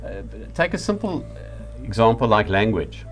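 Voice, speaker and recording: A middle-aged or older man with a South African accent, giving a reflective lecture in a deliberate, emphatic manner. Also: lowish voice, very animated, fairly bright tone, faint music behind.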